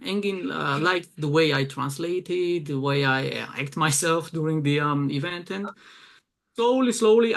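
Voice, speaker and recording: A young Georgian-accented man with a gravelly, slightly raised voice chats as an interview guest. He talks haltingly, full of fillers and restarts. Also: on the high side. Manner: hesitant, many fillers and restarts. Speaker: guest, promotions director. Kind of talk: casual interview chat.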